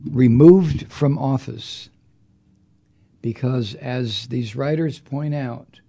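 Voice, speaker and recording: A man's voice: mid-range voice, slow pace, creaky, mellow. A man hosting a political podcast, delivering an angry, profane monologue with heavy emphasis. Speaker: podcast host.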